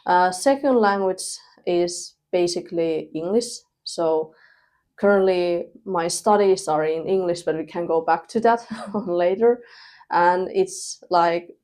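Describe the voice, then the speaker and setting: Young woman, lowish voice, relaxed guest chat with ums. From Finland. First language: Thai. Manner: relaxed, laughs between thoughts, pauses with fillers. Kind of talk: casual interview chat.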